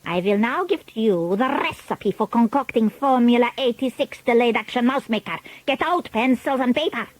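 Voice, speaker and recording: A woman's voice: fairly high pitch, animated, moderate pace, dry recording, rough recording. A woman voicing a scheming, pompous old witch leader who talks in grandiose broken grammar. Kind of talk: storybook reading.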